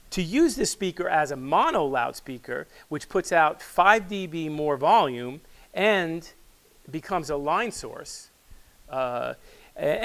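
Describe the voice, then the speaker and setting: A man in his sixties giving an unscripted product presentation in a measured, halting way, pausing mid-phrase. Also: a little high, a bit bright, clean tone. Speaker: loudspeaker company presenter and product developer. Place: small wood-panelled studio, indoors. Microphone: lapel mic.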